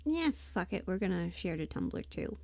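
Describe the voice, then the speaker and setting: A woman chatting casually on a livestream, thinking aloud in a halting, self-deprecating way, often muttering to herself. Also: lowish voice, very animated, vocal fry, darkish tone, dry recording. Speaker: livestream host, voice performer.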